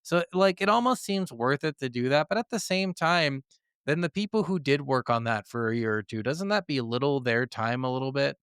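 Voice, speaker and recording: A man's voice: medium pitch, expressive, fairly quick, a bit bright, smooth, no echo, studio quality. A man co-hosting a casual gaming podcast, talking informally and swearing freely. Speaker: podcast co-host.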